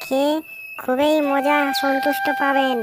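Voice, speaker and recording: A woman playing a caring, eager poor village mother in an animated story. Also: high-pitched, unhurried, over loud music. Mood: eager, hawking.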